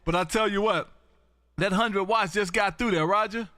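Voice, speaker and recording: American man, high forceful voice, dry close sound, animated folksy ham radio host, booming delivery. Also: normal speed, no echo. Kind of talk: live ham radio contact chat.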